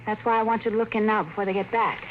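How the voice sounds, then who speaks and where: A woman's voice: mid-range voice, steady tone, racing, background noise, lightly acted. An actress playing an uneasy suburban wife and mother, worried and insistent, in a 1950s radio drama. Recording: muffled old radio broadcast with music.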